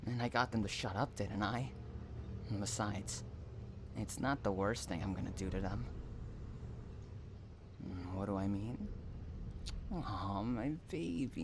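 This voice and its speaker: A man's voice: fairly low pitch, a little slow, long pauses, background noise. A man playing an obsessive, unhinged kidnapper in a dramatic roleplay, cooing endearments mixed with threats straight at the listener. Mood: sweetly threatening. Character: obsessive, unhinged male stalker kidnapper, possessive and menacing lover.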